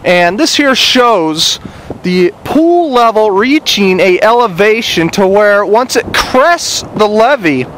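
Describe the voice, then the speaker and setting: A man giving a casual on-location report outdoors by a river, rambling with many "uh" fillers. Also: high-pitched. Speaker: citizen reporter. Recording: outdoor recording with loud wind and river noise.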